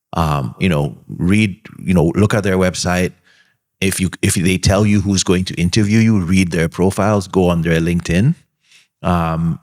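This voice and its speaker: A man answering questions on a panel, giving earnest, measured advice with frequent pauses and ums. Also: low voice. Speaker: alumnus panelist.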